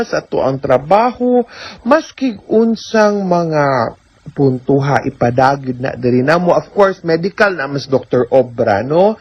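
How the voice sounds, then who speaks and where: Cebuana nga host sa radyo, medyo dili klaro ang recording. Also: female voice, low voice, husky. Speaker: radio advice host, attorney. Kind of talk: conversational radio advice show with letter reading. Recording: dull lo-fi radio recording.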